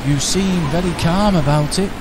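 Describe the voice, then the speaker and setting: A man voicing an excitable young male bear in a radio play, with high squeaky yelps. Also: moderate pace, close to an everyday voice. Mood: excited. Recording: radio play with clear background noise.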